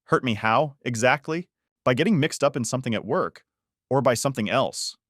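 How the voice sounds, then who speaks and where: A man's voice: mid-range voice, brisk, a bit bright, dry recording, studio quality, voice pushed up, close to an everyday voice. A man playing a bitter, betrayed husband in an audiobook, icy, sarcastic and accusing.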